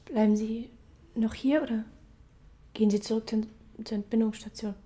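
Soft-spoken woman prisoner. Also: a little high, steady tone, moderate pace, slightly rough. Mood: hesitant, puzzled. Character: quiet, puzzled, tender-hearted young woman prisoner. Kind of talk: radio drama acting.

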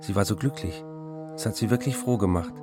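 An actor playing a troubled, self-indulgent writer in a radio play, confessing his excesses in a matter-of-fact, gravelly way. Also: lowish voice, fairly quick, music in the background, lightly acted. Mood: confiding. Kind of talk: radio play narration and letter reading.

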